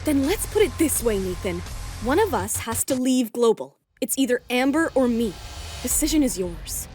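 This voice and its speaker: A woman's voice: fairly high pitch, sing-song, normal speed, a little mellow, faint music behind. A woman playing a confident young betrayed fiancée in an audio drama, cool and self-assured. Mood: cool, challenging.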